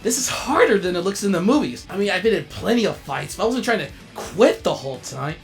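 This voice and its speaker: Man acting as insecure cartoon robot jet, dramatic, lively swings in pitch, music underneath. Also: a little fast, gravelly. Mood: theatrical, boastful. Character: excitable, insecure male robot jet, an aspiring filmmaker. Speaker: voice actor in a comic dub. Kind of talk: animated comic dub acting.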